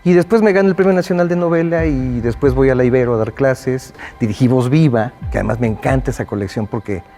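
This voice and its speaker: A man's voice: mid-range voice, quick pace, few pauses, warm tone, soft background music. A man, a writer and editor in conversation, speaking in a reflective way with some restarts. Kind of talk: conversational interview.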